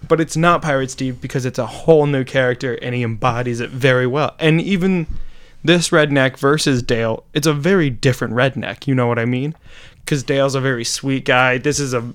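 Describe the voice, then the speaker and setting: A man's voice: medium pitch, a little fast, clean tone, no echo. A young man co-hosting a podcast, rambling enthusiastically in a casual chat with lots of "like" and "you know". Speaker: podcast co-host.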